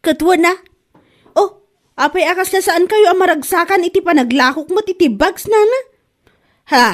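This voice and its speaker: A woman playing a quarrelsome, gullible, indignant woman in a comedy audio drama, with an Ilocano accent and drawn-out exasperated delivery. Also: very high voice. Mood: indignant, scolding. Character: quarrelsome, gullible woman accusing a bag seller of lying. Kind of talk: Ilocano comedy radio-style drama acting.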